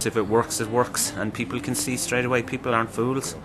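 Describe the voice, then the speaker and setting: A man's voice: medium pitch, flat delivery, brisk, crowd noise. A middle-aged man giving an enthusiastic, emphatic documentary interview.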